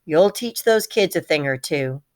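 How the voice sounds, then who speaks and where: Woman reading as a kind encouraging lady teacher from a storybook, warm way of talking, slightly low voice. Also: lively intonation, measured pace. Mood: warm, admiring. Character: warm, encouraging female schoolteacher adopting a pet. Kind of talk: children's storybook read-aloud dialogue.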